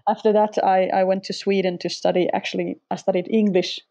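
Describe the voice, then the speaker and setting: A middle-aged woman with a Finnish accent talks in a relaxed, chatty way, often breaking into light laughter. Her voice is husky and mellow, with a gravelly fry at the ends of phrases. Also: mid-range voice, normal speed, muffled recording. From Finland. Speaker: guest, sketchnoter and former language teacher. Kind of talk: casual interview conversation.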